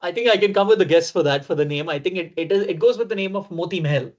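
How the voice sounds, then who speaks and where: Fast-talking man on a dull, lo-fi line. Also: high-pitched. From of Indian origin. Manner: quick, with frequent "you know" and short reactions. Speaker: podcast host. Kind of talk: casual interview chat. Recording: dull lo-fi remote audio.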